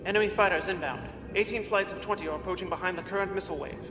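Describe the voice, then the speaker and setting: Man playing a rapid, echoing ship's officer. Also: high voice, music in the background, natural voice. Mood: businesslike. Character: brisk, formal male ship's officer. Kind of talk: audio drama acting. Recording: echoey hall-like reverb.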